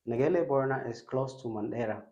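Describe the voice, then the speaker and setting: Man with mellow voice telling his story. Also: mid-range voice, fairly quick, echoey room, dull audio. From Ethiopia, raised in Kenya. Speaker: interview guest.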